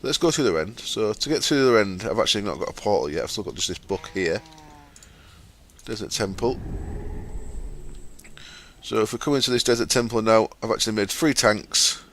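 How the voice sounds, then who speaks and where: A man with a fairly low voice and a sore, croaky throat, talking through his game. He chats casually as he explains each step, with plenty of ums and asides. He speaks into a desktop mic as he records his screen. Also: animated, brisk, long pauses, fairly bright tone. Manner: chatty, explains step by step with fillers. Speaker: gaming channel host.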